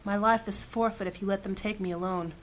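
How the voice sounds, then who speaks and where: A woman voicing a stern, high-ranking female mage who gives clipped, authoritative commands in a fantasy audio drama. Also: steady tone, brisk, a little hiss or hum, lightly acted. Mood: commanding.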